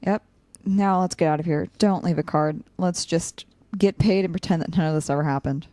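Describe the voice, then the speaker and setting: A woman's voice: lowish voice, normal speed, dark tone, no room sound, close to an everyday voice. A woman playing an earnest, conflicted, loyal young student in a naturalistic audio drama, a little hesitant. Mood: relieved, casual.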